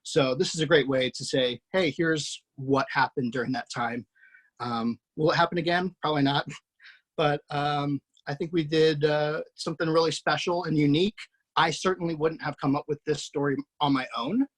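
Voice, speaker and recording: A man hosting and narrating a screenplay table read, relaxed and chatty, with many fillers. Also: a little high, normal speed, poor audio. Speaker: writer and host.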